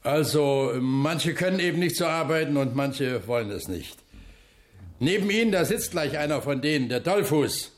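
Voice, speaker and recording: A man's voice: fairly high pitch, animated, normal speed, lightly acted. An actor in a radio drama playing a stoic, plain-spoken older factory foreman, blunt, dry and unyielding. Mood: blunt, accusing. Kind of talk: German radio drama acting.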